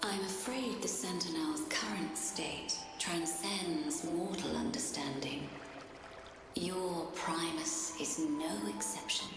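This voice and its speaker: An ancient spirit guardian speaks solemnly for a video game in a lowish, breathy voice. She takes her time over stately, old-fashioned phrases. Her words ring through a huge reverberant space with music behind. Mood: grave, stern. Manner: slow, archaic, stately phrasing.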